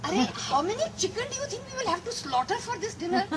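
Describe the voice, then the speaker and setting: A woman's voice: extremely high pitch, sing-song, fast talker, over a noisy crowd. A woman performing a comedic dramatic reading, theatrical and gushing. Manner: theatrical, gushing, sing-song delivery. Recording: noisy live room recording.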